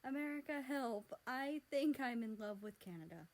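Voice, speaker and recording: A woman's voice: high-pitched, expressive, moderate pace, voice pushed up. A woman voicing a short, hot-tempered, impulsive, lovesick male character in a story, with dramatic delivery. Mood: desperate. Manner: dramatic, swings between outbursts and pleading.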